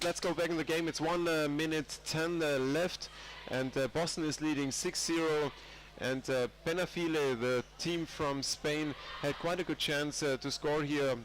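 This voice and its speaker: A man's voice: fairly high pitch, a little hiss or hum, poor audio. Man with a cold doing play-by-play. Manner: rambling, many fillers and restarts. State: has a cold. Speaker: sports commentator. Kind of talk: live play-by-play commentary.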